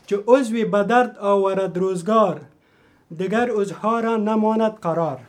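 High-voiced man, halting English. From Afghanistan. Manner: hesitant, many fillers and restarts. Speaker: artist presenting an installation. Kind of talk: halting presentation in broken English.

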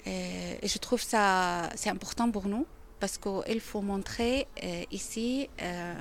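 A middle-aged woman from Syria, a native Arabic speaker with a Syrian accent, giving a personal account in an interview and haltingly searching for words. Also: medium pitch, slight background noise. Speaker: interviewee, refugee who cooks.

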